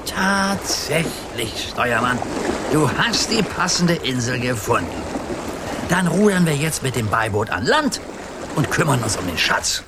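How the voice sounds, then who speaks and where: An old grandpa plays pirate captain in a children's radio drama. He is conspiratorial and gives playful orders. His voice swings widely in pitch over loud background sound effects. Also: very animated, unhurried, very noisy. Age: older. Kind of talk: German children's radio play acting.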